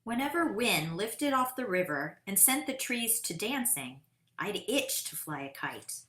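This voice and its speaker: A woman voicing an eager, determined boy who tells his own kite-flying tale in a dramatic, deliberate picture-book read-aloud. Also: medium pitch, big pitch swings, a bit bright. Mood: wistful, eager. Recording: roomy, echoing sound.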